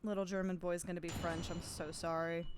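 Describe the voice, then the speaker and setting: A woman's voice: fairly low pitch, flat delivery, fairly quick, noisy background. A woman streamer chatting casually and bluntly, swearing freely. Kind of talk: casual group chat over a game stream.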